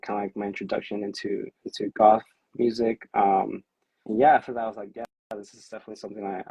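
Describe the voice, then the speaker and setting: A man with a low, husky voice speaks over a livestream video call. His delivery is fairly monotone and hesitant, full of ums and restarts. The sound is dry and close, with almost no room in it. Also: no echo, poor audio. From California. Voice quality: self-described monotone. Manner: hesitant, many fillers, self-described awkward and anxious. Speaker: musician on a livestream chat. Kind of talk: casual livestream chat.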